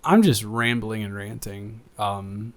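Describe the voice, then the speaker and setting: A man's voice: on the low side, voice pushed down. Rambling tired roommate guy, acted by a man. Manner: rambling, halting, digressive. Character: rambling, tired male roommate, affectionate and defensive. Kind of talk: improvised roleplay audio, casual chat.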